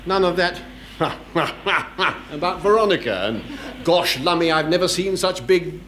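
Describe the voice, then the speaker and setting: A vague, flippant naval officer in radio sitcom style. The voice is high for a man and swings playfully with dry mockery. A faint hiss of an old recording sits behind it. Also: lively intonation, a little slow, fairly bright tone. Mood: dryly amused. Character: languid, flippant upper-class British naval officer. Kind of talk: British radio sitcom acting.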